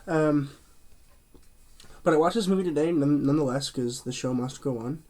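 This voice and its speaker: A man's voice: a little high, moderate pace, darkish tone. Stuffy-nosed man chatting through a review. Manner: chatty and rambling, with many fillers and restarts. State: stuffy, a bit nasal from a cold. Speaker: movie reviewer, channel host. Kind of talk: casual review vlog.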